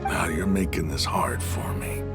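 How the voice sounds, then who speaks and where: An actor voicing a proud, earnest, hard-bitten desert mercenary leader in a dramatic video game scene. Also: male voice, sits low, measured pace, creaky, loud music behind the voice. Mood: wry, grateful.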